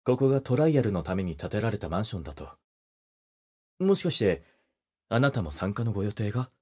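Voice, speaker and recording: An actor playing a polite, gentlemanly young wedding photographer who speaks softly and teases the listener in an audio drama. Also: male voice, on the low side, very animated, fairly quick, husky. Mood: polite, gentle. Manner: soft-spoken, polite, teasing.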